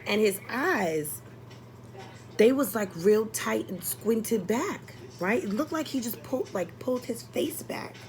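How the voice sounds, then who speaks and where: A woman chatting casually as a vlogger, telling a personal story in an expressive, exasperated, sweary and comedic way. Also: on the high side, unhurried, low-quality recording.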